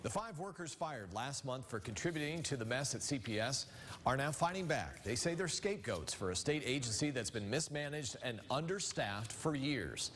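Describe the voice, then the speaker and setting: A man's voice: medium pitch, expressive, moderate pace, fairly bright tone, slight background noise. A man with an American accent reading scripted news as a television anchor. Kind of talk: scripted newscast narration.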